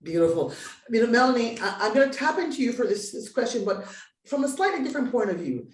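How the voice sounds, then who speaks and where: A woman's voice: on the high side, very animated, quick pace. The moderator of an online panel, a woman thinking aloud as she speaks, heard from a little way off the mic in a reverberant room. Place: virtual panel, echoey room. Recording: roomy, distant computer microphone.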